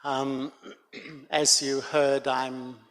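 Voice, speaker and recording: Slow older man, hall echo. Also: mid-range voice, flat delivery. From Australia. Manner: gentle, reflective storytelling. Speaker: guest speaker. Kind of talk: spiritual talk to a gathering. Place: meditation center hall. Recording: long hall reverb.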